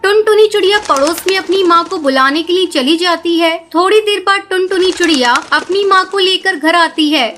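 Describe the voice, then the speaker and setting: A woman's voice: very high-pitched, normal speed, hardly any pauses, a bit bright, a little hiss or hum. A woman narrating a scripted children's story as a cartoon narrator.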